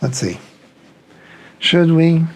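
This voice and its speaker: A man's voice: a little high, lively intonation, very slow, slight background noise. A man thinking aloud in a casual, unscripted, tentative way as he reviews a product. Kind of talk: casual unscripted unboxing commentary.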